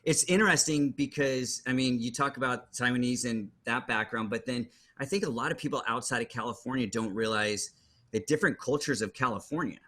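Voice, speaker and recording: A male podcast host with a bright, forward tone. He leads the conversation casually, his questions meandering with fillers and restarts. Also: mid-range voice, brisk. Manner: rambling questions with many fillers.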